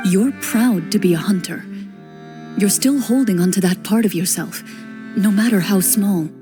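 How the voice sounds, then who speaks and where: A woman voicing a calm, stern duelist. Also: mid-range voice, music in the background, natural voice. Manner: calm, measured, quietly commanding. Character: composed, stern female duelist, young adult. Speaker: game character, a duelist hunter. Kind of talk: video game cutscene voice acting.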